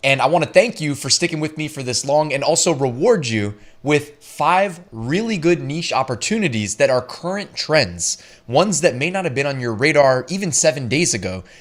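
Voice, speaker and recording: A man hosting a weekly online business show in a casual, chatty way, his voice slightly hoarse, often laughing and making asides. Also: on the high side, expressive, moderate pace. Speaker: print-on-demand show host. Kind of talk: casual talking-head business tips.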